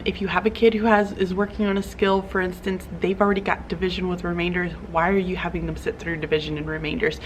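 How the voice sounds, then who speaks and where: A woman's voice: lowish voice, a little hiss or hum, rough recording. A young woman teacher, chatting upbeat through her school day in a classroom vlog, with plenty of fillers. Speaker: teacher vlogger.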